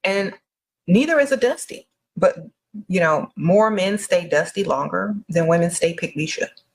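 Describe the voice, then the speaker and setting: A woman's voice: fairly low pitch, lively intonation, unhurried. A woman giving blunt, emphatic advice, leaning on repeated catchphrases.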